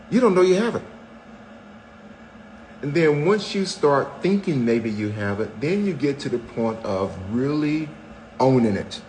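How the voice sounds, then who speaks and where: A man's voice: medium pitch, darkish tone, a little hiss or hum, low-quality recording. A man in his seventies chatting candidly on a podcast, telling stories with wry, self-mocking jokes. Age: 70s. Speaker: podcast co-host, husband. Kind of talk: casual chat.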